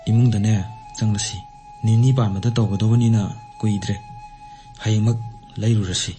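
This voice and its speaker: A man acting in a radio drama as a gentle, earnest figure who consoles and coaxes. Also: on the low side, flat delivery, rapid-fire, close to an everyday voice. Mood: consoling, earnest. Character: consoling, practical man comforting a bereaved woman. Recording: faint music behind the voice.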